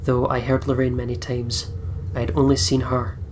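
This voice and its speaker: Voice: mid-range voice, little pitch movement, normal speed, background noise. A let's play host reading game narration aloud dramatically, with casual, sweary commentary.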